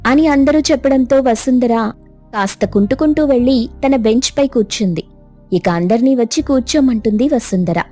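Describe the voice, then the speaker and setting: High-voiced woman narrating a cartoon. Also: moderate pace, faint music behind. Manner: steady, plain storytelling. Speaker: storyteller narrator.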